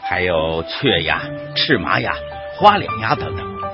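An actor playing a genial, knowledgeable wildlife conservation official in a radio drama, explaining things in an expressive way. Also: male voice, sits low, unhurried, heavily acted. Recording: dull radio drama with clear music behind.

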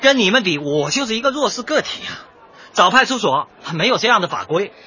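Cocky young dj in a comedy radio play, high sharp voice, swings all over, argues and lectures. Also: male voice, very animated, brisk, slightly rough, lo-fi sound, exaggerated voice. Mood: aggrieved. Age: young adult. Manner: brash, glib, protesting, lecturing. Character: cocky, argumentative young dyed-hair male DJ. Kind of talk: light comedy radio drama acting.

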